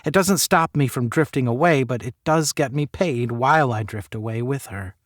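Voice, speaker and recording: A man's voice: mid-range voice, moderate pace, no room sound, clean studio sound, natural voice. A man playing a sardonic, moody young working man who narrates his own audio story, drifting between muttering and bitterness. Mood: dreamy.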